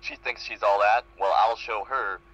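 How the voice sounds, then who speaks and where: A man's voice: fairly low pitch, fairly even intonation, normal speed, a bit bright, recorded from a distance, dull audio. A man voicing a resentful, boastful female cartoon pony magician with theatrical pomposity.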